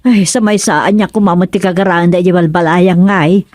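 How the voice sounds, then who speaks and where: A woman's voice: on the low side, fairly even intonation, moderate pace, darkish tone, no room sound, clean studio sound, lightly acted. A woman acting a worried village mother in a conversational radio drama. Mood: concerned.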